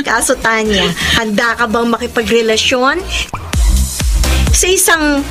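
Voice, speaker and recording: A woman's voice: on the high side, slow. A woman playing a gossipy young friend in a Filipino radio drama, with a Filipino accent. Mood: gossipy, teasing. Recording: music bed under the voice.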